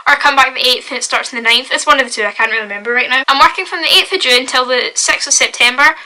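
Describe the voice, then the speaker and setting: A young Scottish woman with a strong Glasgow accent chats brightly and eagerly to camera from her bedroom. Her voice sits high with a very sharp, bright edge, and she talks in a loose, upbeat way that leans on "like" and "wee.". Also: quick pace. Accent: Glaswegian. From Scotland. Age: young adult. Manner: chatty and upbeat, full of "like" and "wee". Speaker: vlogger. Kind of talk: casual vlog chat.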